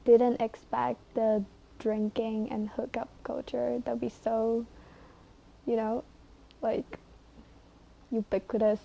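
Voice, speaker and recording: A young woman from China, a college freshman, giving measured interview answers with pauses between phrases. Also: on the high side, flat delivery, creaky, warm tone, a little hiss or hum, low-quality recording. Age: young adult.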